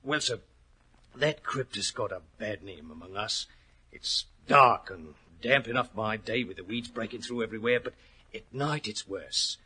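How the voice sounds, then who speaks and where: An actor playing a worried, plain-spoken racehorse trainer in a 1940s radio drama, earnest and respectful, with rustic turns of phrase. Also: male voice, fairly low pitch, moderate pace, bright, forceful tone. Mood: hushed, eerie. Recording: old 1940s radio recording.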